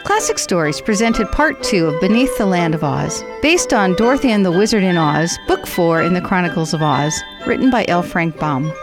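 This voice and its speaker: A woman's voice: lowish voice, expressive, moderate pace, hardly any pauses. A woman working as a radio drama announcer, reading scripted credits. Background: music.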